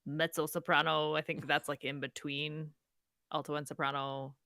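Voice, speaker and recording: Bright-voiced woman co-host chatting. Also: sits low, flat delivery, moderate pace, clear, resonant tone, no room sound, clean studio sound. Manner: chatty, laughs often, mock whispers. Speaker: podcast co-host. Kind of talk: casual chat.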